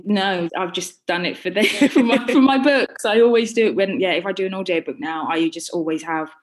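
A woman's voice: medium pitch, brisk. A woman answering questions about narrating audiobooks in a chatty way, laughing often and restarting her sentences. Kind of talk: casual Q&A chat.

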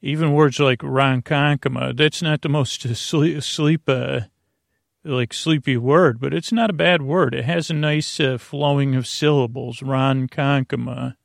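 Creaky-voiced man rambling a bedtime podcast. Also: mid-range voice, steady tone, dry recording, studio quality. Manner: meandering, halting, lulling delivery with frequent digressions. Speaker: podcast host.

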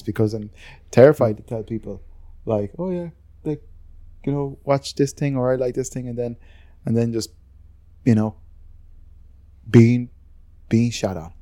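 An Irish man rambles through casual podcast talk, cursing freely and laughing at his own opinions. His voice is mellow and relaxed. The sound is dry and close to the mic. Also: medium pitch, no room sound. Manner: rambling, swears freely, self-deprecating. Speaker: podcast co-host. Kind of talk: casual chat.

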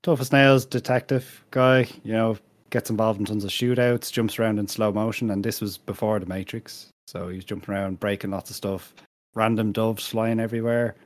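A man's voice: on the low side, fairly even intonation, normal speed. A man hosting a casual podcast with friends, talking in a dry, wry way. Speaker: podcast host. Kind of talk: casual bantering podcast chat.

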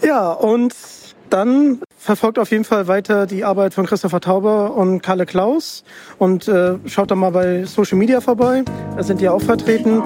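A man's voice: sits high, moderate pace, a little mellow, soft background music. A man hosting a casual interview in an enthusiastic manner. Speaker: podcast host, interviewer. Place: outdoors at a book fair.

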